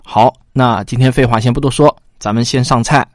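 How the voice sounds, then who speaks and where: A man's voice: medium pitch, steady tone, fairly quick, dry recording, studio quality. A man hosting a podcast, giving a casual, self-deprecating spoken introduction. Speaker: podcast host.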